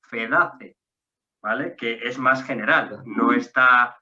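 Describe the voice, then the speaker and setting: Man, host, very bright tone, friendly explaining, spells names slowly, dull lo-fi audio. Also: mid-range voice. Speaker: association staff member hosting the talk. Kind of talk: casual conversational presentation.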